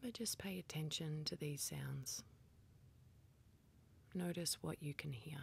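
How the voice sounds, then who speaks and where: A woman leading a guided meditation in a soft, whispery, breathy voice, calmly and gently instructing with pauses. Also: deep voice, slight background noise.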